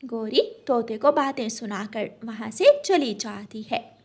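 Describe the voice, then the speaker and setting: A woman's voice: a little high, expressive, takes it slowly. A woman narrating a scripted children's story as a storyteller.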